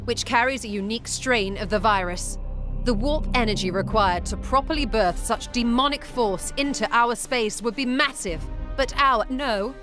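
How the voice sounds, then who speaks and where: An actress playing a zealous, self-assured inquisitor in a sci-fi game, lofty and theatrical, speaking deliberately. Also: female voice, fairly high pitch, over music, lightly acted. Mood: ominous, proud.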